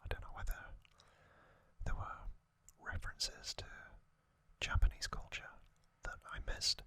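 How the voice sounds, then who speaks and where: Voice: unhurried, bright, forceful tone, no room sound. An ASMR host whispering a recap in a conversational, slightly hesitant way.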